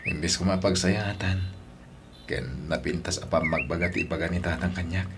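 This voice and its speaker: A man's voice: low voice, fairly even intonation, moderate pace, noisy background. A man playing a troubled husband who earnestly defends his wife in a radio-style drama, with a Filipino accent. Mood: troubled, subdued.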